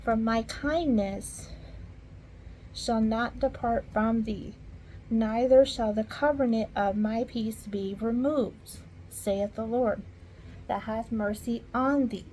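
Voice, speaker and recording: A woman reading scripture aloud in an informal way, halting at hard words and talking softly to her viewers between verses. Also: fairly high pitch, a little hiss or hum, low-quality recording. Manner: slow, halting, stumbles over archaic words. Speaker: Bible reader.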